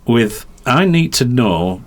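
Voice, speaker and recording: A man's voice: medium pitch, very animated, takes it slowly. A middle-aged man with a light Yorkshire twang, a racing commentator explaining at length in a rambling, hesitant way. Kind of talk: podcast conversation.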